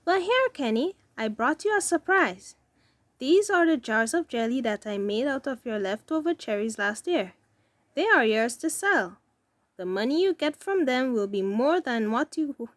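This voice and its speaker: A woman voicing a kindly, principled aunt in a storybook, gently and pointedly correcting in a measured way. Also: fairly high pitch, animated, no room sound, natural voice.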